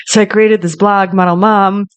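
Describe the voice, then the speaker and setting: A woman from Russia with a Russian accent, chatting as a podcast guest and telling animated stories. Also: mid-range voice, normal speed, smooth, dry recording. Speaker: guest, model and actress. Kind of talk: casual chat.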